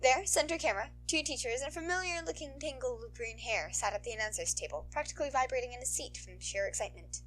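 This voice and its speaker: A woman reading a story aloud as a narrator, in an expressive audiobook style. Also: high voice, fast, hardly any pauses, a little hiss or hum. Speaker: podfic narrator.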